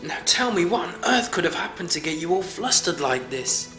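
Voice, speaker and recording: Brief: brave broccoli taking charge, slow and commanding, echoey room, music underneath; a woman voices it. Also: lowish voice. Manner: commanding, calming. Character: brave broccoli who takes charge, firm and steady.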